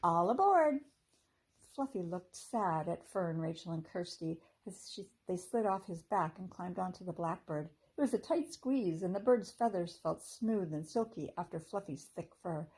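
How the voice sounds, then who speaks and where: A woman teacher reading a children's chapter book aloud in a gentle storytelling manner. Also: lowish voice, moderate pace, few pauses, husky, low-quality recording.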